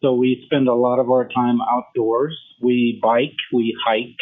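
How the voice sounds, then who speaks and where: An older man from Memphis whose first language was French, a physician guest in a podcast interview, speaking in a measured, formal way with frequent pauses and fillers. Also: medium pitch, little pitch movement. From Memphis, Tennessee. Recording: tinny phone line.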